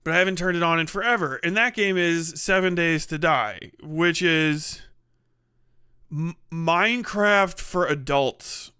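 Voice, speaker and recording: Bright-voiced sweary man livestreaming. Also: a little high, a little slow, clean tone, no echo, studio quality. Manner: sweary, rambling, animated. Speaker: livestream host. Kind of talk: casual livestream chat.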